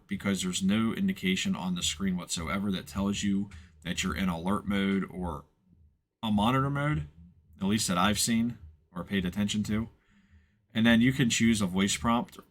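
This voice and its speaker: Man, lowish pitch, informal instructor, unscripted step-by-step walkthrough, matter-of-fact explaining. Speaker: instructor, software tutorial presenter. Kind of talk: unscripted step-by-step screen tutorial.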